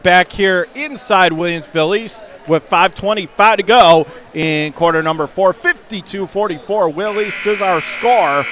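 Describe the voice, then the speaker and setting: A man doing radio play-by-play of a basketball game in clipped, running phrases with bursts of excitement. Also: sits high. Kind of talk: live basketball commentary. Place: indoor high school basketball gym.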